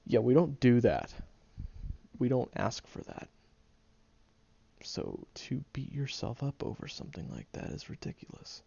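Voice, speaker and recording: A man voicing a gruff, sarcastic young man who secretly cares, blunt and profane but gentle underneath, groggy from just waking up, in an audio roleplay. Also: medium pitch, wildly swooping pitch, unhurried, long pauses, muffled recording, exaggerated voice. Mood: firm. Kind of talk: audio roleplay monologue to the listener.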